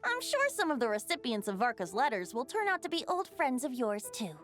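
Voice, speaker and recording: A woman's voice: high-pitched, sing-song, a little fast, fairly bright tone, soft background music. A woman voicing a youthful male bard spirit in an anime video game, breezy, playful, eloquent and theatrical. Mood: breezy, musing.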